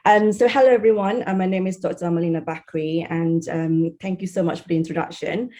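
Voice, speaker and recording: A woman's voice: lowish voice, steady tone, fairly quick, warm tone. A woman in her thirties from Malaysia, giving an online talk as a surgeon. She is tired after a night shift and talks in a friendly way, with frequent ums. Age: 30s. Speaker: guest speaker, surgeon and researcher. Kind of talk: online presentation. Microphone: video call.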